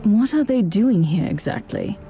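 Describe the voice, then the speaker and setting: A woman's voice: mid-range voice, very animated, moderate pace, gravelly, mellow, soft background music. An actress playing a stern, zealous woman inquisitor in a video game. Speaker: inquisitor, player character.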